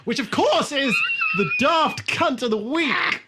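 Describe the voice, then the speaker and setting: A man co-hosting a casual comedy podcast, chatting in a sweary, animated way and often laughing. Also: very high voice, slow pace, very noisy. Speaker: podcast host. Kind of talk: casual comedic chat about a TV show.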